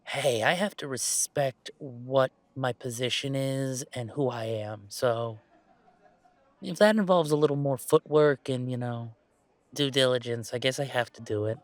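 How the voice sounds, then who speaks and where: A man's voice: mid-range voice, no room sound, natural voice. Man acting a chatty young streamer guy, close dry sound. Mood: casual, reflective. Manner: chatty, halting, playfully exasperated. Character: laid-back, self-deprecating young male werewolf gamer and streamer. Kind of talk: roleplay audio drama, casual one-sided conversation.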